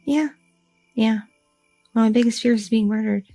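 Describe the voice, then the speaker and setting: A young goth girlfriend who overthinks everything and rambles through her worries, as a woman acts her out in an ASMR roleplay. Her voice is low-lit and mellow with a gravelly, husky edge. It is recorded close and dry over faint music. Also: normal speed, no echo, poor audio. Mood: anxious.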